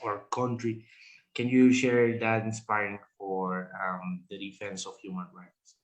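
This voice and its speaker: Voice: sits low, level tone, unhurried, rough recording. An interviewer asking a short question. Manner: brief backchannels and short questions.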